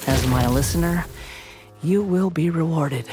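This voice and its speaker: A man's voice: on the high side, measured pace, lightly acted. Guy voicing arrogant young game villain who thinks he's a god, mocking and grandiose, music underneath. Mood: condescending. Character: arrogant youthful male villain, self-proclaimed new god. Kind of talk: dramatic game villain monologue.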